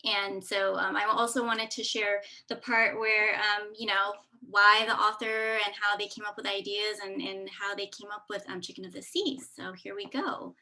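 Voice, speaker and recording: Woman host chatting between clips, bright voice, friendly, um um. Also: medium pitch, level tone, normal speed, hardly any pauses. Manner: friendly, filler-heavy. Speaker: festival host. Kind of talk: presenting clips at an event.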